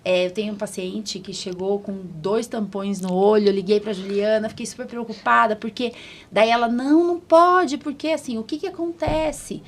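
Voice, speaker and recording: A woman's voice: mid-range voice, steady tone, normal speed, a little hiss or hum. A Brazilian woman doctor hosting a podcast, explaining things in a colloquial, didactic way and often checking for agreement. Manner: colloquial, didactic, seeks agreement with tag questions. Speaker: podcast host, allergist doctor. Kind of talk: conversational medical explanation on a podcast.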